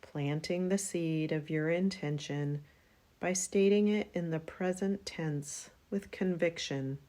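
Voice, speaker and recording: A woman guiding a relaxation meditation in a soothing, unhurried manner, leaving long pauses between phrases. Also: fairly low pitch, warm tone. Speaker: yoga nidra meditation guide.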